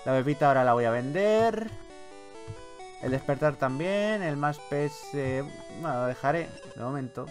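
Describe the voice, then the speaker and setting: A man from Spain with a Spanish accent giving casual, expressive, sweary let's-play commentary on a video game. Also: fairly high pitch, normal speed, soft background music. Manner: expressive, colloquial, swears freely. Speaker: gaming YouTuber, host.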